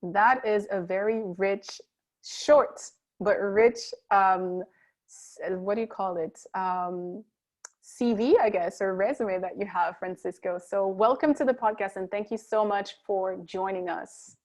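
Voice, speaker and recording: A woman's voice: medium pitch, measured pace. A woman hosting a podcast interview, upbeat and chatty, stumbling a little as she reads a guest's bio. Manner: upbeat, chatty, with small restarts while reading a guest bio. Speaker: podcast host.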